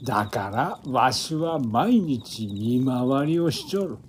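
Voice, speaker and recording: A man's voice: mid-range voice, animated, slow, slight background noise. An actor playing a blunt, folksy old man who patrols a forest, speaking rustic Japanese old-man dialect in a radio drama. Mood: easygoing. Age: older. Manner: blunt, folksy, elderly turns of phrase.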